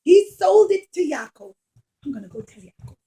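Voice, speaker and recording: A woman's voice: very high voice, lively intonation, moderate pace, mellow, pitched above the performer's own voice. A woman voicing a worried, protective mother in a children's puppet show, urgent and emphatic as she reassures and urges her timid son. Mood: alarmed, dismayed.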